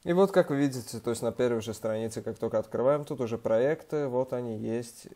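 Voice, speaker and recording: A young man talks through a screen-recorded tutorial in a relaxed, chatty way, full of fillers and restarts. His voice is mellow and mid-pitched, and he sounds a little under the weather. He speaks into a computer mic. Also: a little fast. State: slightly ill, voice a bit off. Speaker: student organization member giving a tutorial.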